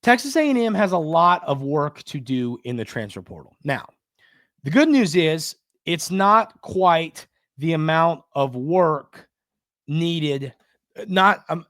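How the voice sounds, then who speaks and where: A man's voice: on the high side, very animated, a little slow, fairly bright tone, no room sound, clean studio sound. A man hosting a sports podcast, giving conversational commentary in an emphatic way and repeating phrases for stress.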